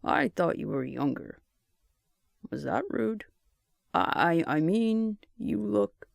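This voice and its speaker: Audiobook role: awkward, protective survivor in their forties, read by a man, gravelly, lively pitch, gentle teasing delivery. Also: takes it slowly, no room sound, exaggerated voice. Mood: flustered. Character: good-humored, protective, awkward forty-three-year-old survivor.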